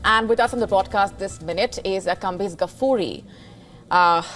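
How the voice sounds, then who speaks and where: A woman anchoring live news, brisk, slipping into fillers when she ad-libs her questions. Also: medium pitch, a bit bright, soft background music.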